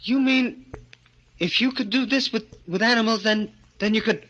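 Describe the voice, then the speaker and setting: An actor playing a bitter, anguished vaudeville little person in a 1940s radio drama, intense and halting. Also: male voice, high-pitched, a bit bright, lo-fi sound. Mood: anxious, pleading.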